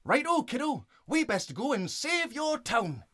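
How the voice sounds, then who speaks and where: Cheeky, swooping talking fox. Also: female voice, high-pitched, very animated, measured pace, a bit bright, dry recording, clean studio sound, full character voice. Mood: cheerful, eager. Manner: teasing, chatty, sardonic. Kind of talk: family audio drama acting.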